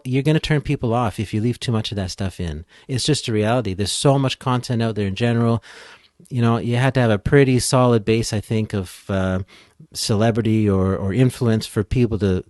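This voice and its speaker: A man giving casual, informal expert explanations as a podcast guest, thinking out loud as he goes. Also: fairly low pitch, no room sound, studio quality. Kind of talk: casual explanatory interview talk.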